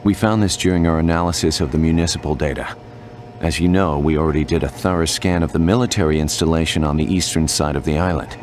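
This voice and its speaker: An actor playing a businesslike, deferential technician underling in a video game, speaking matter-of-factly. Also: male voice, fairly even intonation, quick pace, darkish tone. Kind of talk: video game cutscene acting. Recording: rumbling noise behind the voice.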